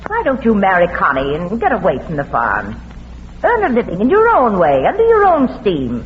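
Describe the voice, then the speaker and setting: Radio drama casting: condescending, genteel divorcée, very animated delivery, reverberant old recording. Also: female voice, medium pitch, moderate pace. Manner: affected, condescending politeness. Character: haughty, evasive divorced society woman, deliberately pleasant. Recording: muffled, noisy, reverberant vintage radio recording.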